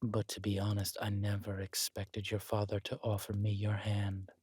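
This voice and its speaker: A man playing a scheming, aristocratic villain husband in an intimate roleplay audio drama, suave and deliberate, slipping into breathy near-whispers. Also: lowish voice, monotone, darkish tone, no echo. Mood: smug, musing. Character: smooth, scheming villain husband, usurper of a kingdom.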